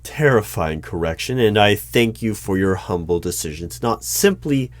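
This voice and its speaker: A man playing a formal, easily flustered hiring manager in an ASMR roleplay, speaking in measured, halting phrases. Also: fairly low pitch, expressive, dry recording, natural voice. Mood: polite, formal. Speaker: performer of a one-sided roleplay. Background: computer keyboard typing.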